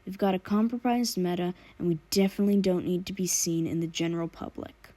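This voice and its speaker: A woman voicing a brisk, easygoing young male vigilante with a projected, decisive delivery in an audiobook. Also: lowish voice, dark tone, lightly acted. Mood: urgent.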